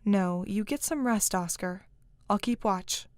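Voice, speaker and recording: A woman's voice: mid-range voice, unhurried, no echo, clean studio sound, close to an everyday voice. A woman acting a protective, agitated young fugitive woman in a fantasy audio drama, insistent and caring.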